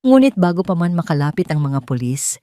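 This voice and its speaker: A woman telling a first-person story as a scripted drama, with an emotive and deliberate delivery. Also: on the low side, sing-song, mellow, no echo, studio quality. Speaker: audio story narrator.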